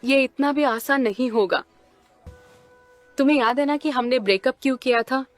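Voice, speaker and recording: Highish, wry young woman reporter played by an actress. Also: moderate pace, soft background music, natural voice. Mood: guarded, wry. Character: sharp, teasing young woman reporter, guarded but fond. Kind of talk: Hindi-dubbed video game dialogue.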